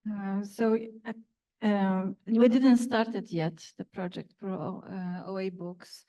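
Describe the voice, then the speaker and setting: A woman's voice: medium pitch, steady tone, takes it slowly, dark tone. A woman from Poland, answering on a conference panel, hesitant with many fillers and restarts. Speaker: panelist, university open science staff. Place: conference hall.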